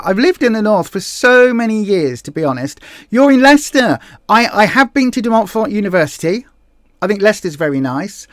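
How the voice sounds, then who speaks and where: A woman's voice: mid-range voice, a bit bright, dry recording. A woman with a Southeast English accent chats casually on a livestream, rambling and correcting herself with plenty of fillers. Her voice comes across dry and close, with no room sound. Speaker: livestream host. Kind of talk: casual livestream chat, reading viewer comments.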